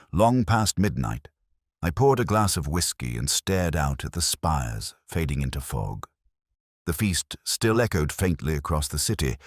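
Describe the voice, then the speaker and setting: A man plays a melancholy older police inspector with an exceptionally deep voice. His formal, wry narration moves expressively up and down in pitch. The sound is dry and close, as in an audio detective drama, with every reflection measured and brooding. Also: no room sound, exaggerated voice. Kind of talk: scripted first-person literary narration.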